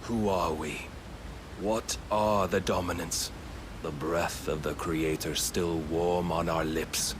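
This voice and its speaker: An actor playing a grave, menacing godlike servant in a fantasy game, speaking in solemn, pompous, archaic phrases. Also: male voice, deep voice, steady tone, slow, noisy background. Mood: solemn, preaching. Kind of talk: dramatic fantasy game cutscene acting.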